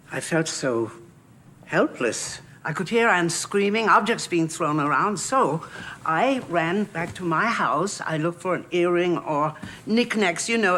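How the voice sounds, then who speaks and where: An actress playing an elderly, humble woman who sheltered refugees in wartime, speaking with an Austrian German accent in a soft, earnest, measured way. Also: fairly low pitch. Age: older. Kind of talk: film drama.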